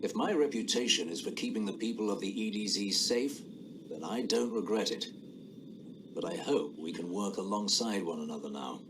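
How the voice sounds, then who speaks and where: A man playing a courteous, steadfast veteran officer with dry wit and a measured, genteel manner, as video game dialogue. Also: lowish voice, animated, background noise, natural voice. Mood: reflective. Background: background music.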